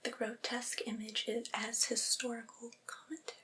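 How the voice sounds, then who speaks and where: A woman reading aloud in an ASMR style in a breathy, unvoiced whisper, phrasing each clause deliberately and carefully. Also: a little high, little pitch movement, sharp tone. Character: devoted servile female vampire's familiar soothing master.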